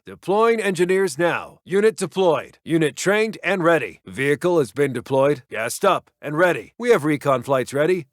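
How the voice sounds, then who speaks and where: Male American army intel officer for a strategy game: slightly high-pitched, clipped, official, dry close sound. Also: no room sound. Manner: clipped, official, dutiful reporting. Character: brisk, businesslike American military intelligence officer. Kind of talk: game unit announcements, crisp scripted military reports.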